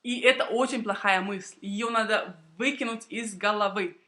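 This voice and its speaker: Young woman, native Russian speaker, bright forceful voice, deliberate phrasing, expressive, prepared vlog storytelling for learners. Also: mid-range voice. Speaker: Russian language teacher and video blogger.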